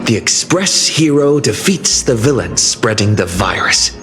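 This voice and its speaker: Slow, measured male adventurer narrator. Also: lowish voice, sing-song. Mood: solemn recounting. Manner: deliberate, storytelling. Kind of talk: scripted story recap narration. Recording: background music under studio voice.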